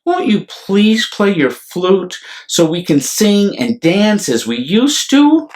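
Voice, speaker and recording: Storybook youngest daughter played by a man: polite, gently pleading little girl, pitched higher. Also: sing-song, slow, heavily acted.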